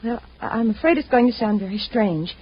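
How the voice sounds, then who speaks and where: A woman's voice: a little high, expressive, brisk, breathy edge. An actress playing an anxious, earnest young woman in distress, pleading and hesitant, in 1950s radio drama style. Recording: muffled vintage radio recording.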